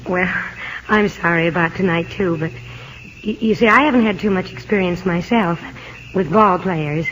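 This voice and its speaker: An actress playing a sweet, coy young sweetheart in a 1950s radio drama. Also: female voice, on the low side, moderate pace, fairly bright tone, a little hiss or hum, lightly acted. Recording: muffled old radio broadcast.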